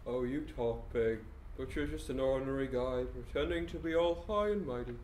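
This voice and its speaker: A man's voice: mid-range voice, normal speed, slight background noise, lightly acted. A man playing a bored, taunting, unhinged criminal mastermind in an amateur audio drama, theatrical and mocking. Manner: theatrical, mocking, swooping between hush and outburst.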